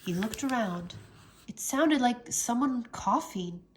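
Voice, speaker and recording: Slow, expressive woman storyteller. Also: on the high side. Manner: expressive, dramatic. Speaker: English teacher reading aloud. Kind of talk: storybook narration for children.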